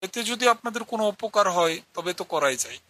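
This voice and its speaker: A man voicing a polite young villager who tells a scripted ghost story in a measured, earnest way. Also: high-pitched, animated, sharp tone. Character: polite young village man from a poor family recounting his ghost encounter. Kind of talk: scripted horror story narration with acted dialogue. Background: music.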